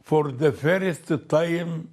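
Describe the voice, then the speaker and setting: A man speaking as a guest in a debate, making his points in a measured, deliberate way. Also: on the high side, a little mellow. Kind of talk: debate discussion.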